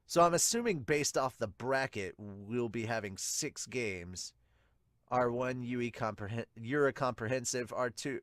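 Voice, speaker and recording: A man hosting a podcast, reading aloud and reacting casually with excitable exclamations. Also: mid-range voice, no echo, studio quality. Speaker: podcast host and reviewer. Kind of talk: manga chapter dub reading and casual reaction commentary.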